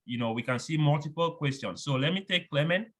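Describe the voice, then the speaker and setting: A man's voice: a little high, animated, a little fast, fairly bright tone. A man hosting an online orientation, steadily moderating a video call and handing out the floor.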